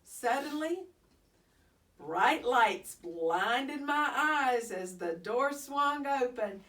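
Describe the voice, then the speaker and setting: Slow, expressive woman reading a story. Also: high voice. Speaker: storybook reader. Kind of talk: expressive children's story narration. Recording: slightly distant microphone.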